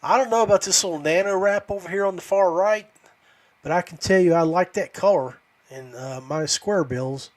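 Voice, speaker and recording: Man, slightly high voice, podcast host, folksy casual chat, halting delivery, trailing off.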